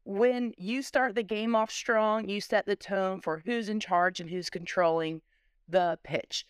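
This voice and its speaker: A woman gives interview answers as a sports coach. Her voice is strikingly clean and smooth, at a middle pitch, in a dry recording. She speaks in emphatic, driven phrases that repeat and build. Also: fairly even intonation, unhurried, no echo.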